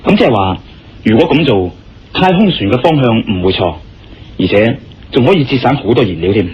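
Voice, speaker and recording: A man acting as an easygoing, inquisitive and impatient male hero of a radio play, with a Hong Kong Cantonese accent. His voice is very husky and moves around in pitch. The sound is dull and muffled, with music underneath. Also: animated, brisk. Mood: curious. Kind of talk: Cantonese radio drama dialogue. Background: background music.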